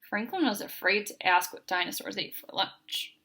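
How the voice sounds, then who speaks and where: A woman's voice: medium pitch, normal speed, bright, forceful tone, breathy edge. A woman reading a children's picture book aloud as a storyteller.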